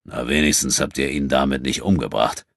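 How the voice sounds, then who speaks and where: A scornful, grumbling man from an audiobook, acted by the male reader. His voice is deep and rough, growling out each disparaging line. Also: a little fast, fairly bright tone, dry recording, clean studio sound, full character voice. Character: gruff, scornful Nubian man, sardonic companion. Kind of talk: audiobook character acting.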